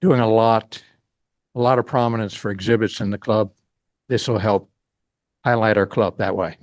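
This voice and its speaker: Fairly low-voiced man, muffled and dry. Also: steady tone, normal speed, dry recording. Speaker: club member. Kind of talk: informal explanation.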